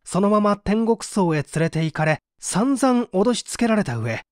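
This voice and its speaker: An actor playing a poor, contrite painter making an earnest, theatrical confession in a dramatic audio mystery. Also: male voice, high voice, big pitch swings, normal speed, dry recording, studio quality. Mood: tense, recounting. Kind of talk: dramatic Japanese audio reading of a mystery story.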